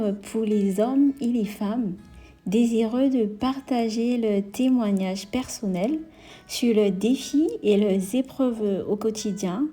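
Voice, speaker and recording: A woman host opens a podcast with a slow, measured introduction. Her voice sits a little high yet sounds very warm and mellow. Soft guitar plays faintly beneath her. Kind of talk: scripted show introduction.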